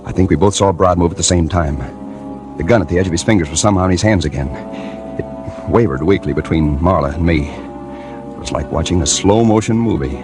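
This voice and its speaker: An actor playing a dogged, hardboiled newspaper reporter in a 1940s radio detective drama, with a husky voice and wry, clipped delivery. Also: male voice, low-pitched, fairly quick. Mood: grim, brooding. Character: dogged hardboiled male newspaper reporter narrating his case. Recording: old radio recording with faint music.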